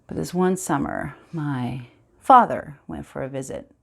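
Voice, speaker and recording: Somber, hushed storyteller, acted by a woman. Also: low voice, vocal fry, pitched below the performer's own voice, heavily acted. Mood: wistful, brooding. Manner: slow, hushed, halting, deliberate. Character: somber storyteller recalling a farm childhood. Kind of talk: dramatic film monologue.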